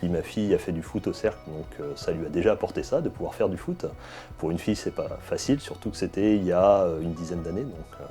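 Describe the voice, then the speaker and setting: A man's voice: low-pitched, fast, mellow, soft background music. A thirty-nine-year-old man, a local elected official, giving an interview testimonial.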